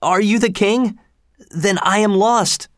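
Man putting on a frightened poor boy, humble, pleading, high, lively, storybook. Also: a little slow, no echo, clean studio sound.

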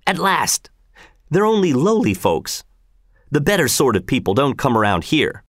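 A man's voice: mid-range voice, very animated, normal speed, dry recording, clean studio sound, voice pushed up, close to an everyday voice. A man voicing a sulky, put-upon soldier in a storybook, complaining plaintively and resentfully. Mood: weary disdain. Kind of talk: storybook character voice.

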